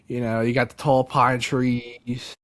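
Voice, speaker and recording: A young man from Delaware, a podcast guest, telling a story casually and excitedly, with lots of "like" and "dude". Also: medium pitch, measured pace. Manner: animated, many fillers like "like" and "dude".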